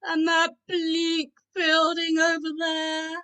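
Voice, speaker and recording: A woman's voice: very high-pitched, monotone, slow, sharp tone, full character voice. A woman playing a solemn, commanding ageless spirit in a radio play, with a stately, declamatory manner. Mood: probing. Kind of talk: radio play rehearsed reading over video call. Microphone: video call.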